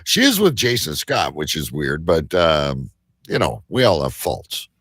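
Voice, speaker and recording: A man hosting a live online show in casual chat, joking and teasing with a halting delivery. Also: extremely low pitch, sing-song, fairly bright tone, breathy edge, no room sound, clean studio sound.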